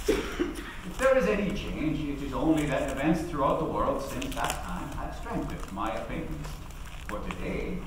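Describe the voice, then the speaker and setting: An ageing labour activist played by a man addresses a hall in a theatrical, oratorical way. He is principled and defiant, with deliberate dramatic pauses. The voice rings with heavy echo, caught away from the mic with faint noise. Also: mid-range voice, distant mic, lightly acted. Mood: fervent, defiant. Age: middle-aged. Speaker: actor giving a dramatic reading. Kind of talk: theatrical reading of a public speech. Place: lectern on stage in a large hall.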